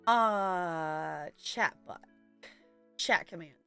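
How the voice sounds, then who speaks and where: A woman's voice: fairly low pitch, very slow, creaky, fairly bright tone, smooth, soft background music. A woman hosting a livestream, chatting casually with viewers and thinking aloud in a rambling way. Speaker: livestream host. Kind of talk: casual chat with live stream viewers.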